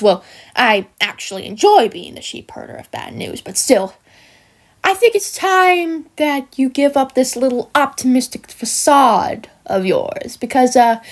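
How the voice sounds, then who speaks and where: A woman voicing a smug, villainous cartoon sheep creature who taunts in a theatrical, mocking manner with drawn-out words and hesitant asides. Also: high-pitched, full character voice. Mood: smug, taunting. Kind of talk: scripted character monologue for a fan voice-over.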